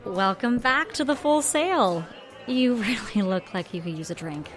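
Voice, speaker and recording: Actress as a lively, teasing female bartender. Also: mid-range voice, unhurried, music in the background, natural voice. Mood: teasing, wry. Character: teasing, quick-witted small-town female bartender. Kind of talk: audio drama acting.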